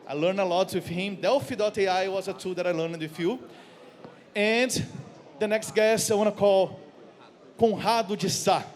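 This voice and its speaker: A man's voice: high voice, fairly even intonation, moderate pace. A man with a Brazilian accent, casually and playfully moderating a panel on stage as its host. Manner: playful, jokey. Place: stage at a conference. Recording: long hall reverb.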